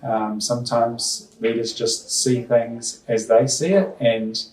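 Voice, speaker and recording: A man's voice: medium pitch, level tone, slow. A middle-aged New Zealand man and school principal, answering interview questions thoughtfully with many ums and restarts. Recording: roomy sound from far off the mic.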